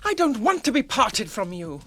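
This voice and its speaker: An actor playing a small, loyal, eager young male hobbit in a radio drama, earnest, polite and halting. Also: very high-pitched, big pitch swings, a bit bright, heavily acted. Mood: pleading, defiant.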